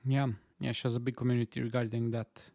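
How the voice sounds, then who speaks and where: A man's voice: mid-range voice, level tone, fast talker, vocal fry, warm tone, dry recording, studio quality. A man from Romania with a Romanian accent, co-hosting a casual game-development stream, talking with frequent fillers and restarts. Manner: hesitant, many fillers and restarts. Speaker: stream co-host. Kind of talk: casual chat. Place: Stockholm.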